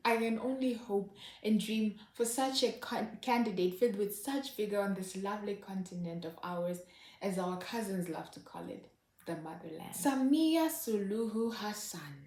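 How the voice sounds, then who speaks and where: A woman's voice: mid-range voice, darkish tone. A woman from Zimbabwe, hosting a sardonic, scripted satirical commentary. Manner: sardonic, wry. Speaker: host. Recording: echoey room.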